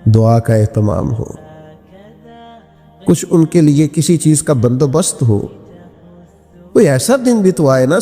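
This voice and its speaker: A man's voice: fairly high pitch, a little mellow. A man delivering an impassioned Islamic sermon as a preacher, with emphatic repetition, rhetorical questions and recited verse. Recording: long hall reverb with music behind.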